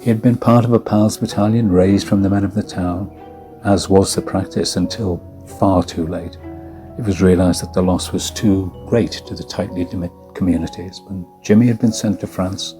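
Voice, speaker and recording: A man with a deep voice narrates a ghostly tale. His tone is soft-edged and dark, and his delivery is measured and solemn. Music plays behind him. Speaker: story narrator. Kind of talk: scripted narration of a ghost story.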